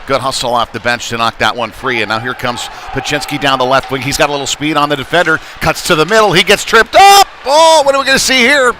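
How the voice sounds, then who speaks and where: A man doing live play-by-play of a youth hockey game in a halting, folksy, conversational way. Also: fairly high pitch. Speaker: play-by-play commentator. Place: indoor ice rink during a game. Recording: noisy arena, clear rink ambience behind.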